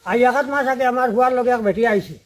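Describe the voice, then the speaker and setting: A man's voice: very high voice, fast. A middle-aged man with a Sylheti accent telling a personal account in a rambling, repetitive, unscripted way. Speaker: father-in-law telling his family's story.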